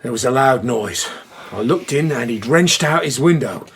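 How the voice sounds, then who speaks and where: An actor playing a male asylum attendant with a raspy voice, urgent and deferential to his superior, in a radio drama. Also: mid-range voice, lively intonation, normal speed, a bit bright, heavily acted. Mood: alarmed.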